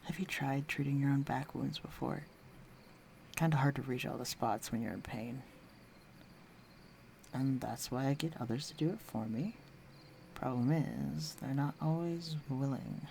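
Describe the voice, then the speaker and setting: A man acts out a self-assured fantasy villain in an intimate roleplay audio drama. His mid-pitched voice has a gravelly fry and moves at an unhurried, teasing pace, flirtatious yet protective. Faint night noise and crickets sit behind him. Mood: wry, musing. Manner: teasing, self-assured, unhurried, dropping into hushed near-whispers. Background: night ambience with crickets.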